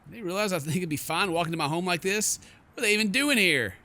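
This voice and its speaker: A man's voice: high-pitched, expressive, fast talker, sharp tone. A man hosting a let's play, reading game text aloud in a playful mock-dramatic way with jokey asides. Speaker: gaming video host.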